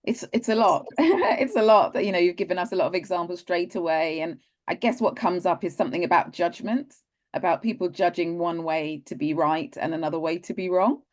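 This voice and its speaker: Woman moderator, lowish voice, encouraging, video-call panel host. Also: a little fast. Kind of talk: hosting a panel discussion.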